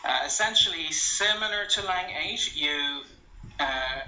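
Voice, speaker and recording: A man, a language blogger, casually walking through an app on his phone, relaxed and meandering with lots of ums and uhs. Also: a little high, measured pace, a little hiss or hum. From Ireland. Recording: roomy, slightly dull home recording.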